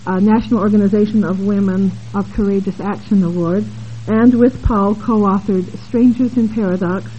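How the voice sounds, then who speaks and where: Mellow-voiced woman moderating a panel. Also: mid-range voice, fairly even intonation. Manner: halting, with many fillers and asides. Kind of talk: introducing panelists at a conference session. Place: conference room with an audience. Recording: dull lo-fi conference tape with faint hiss.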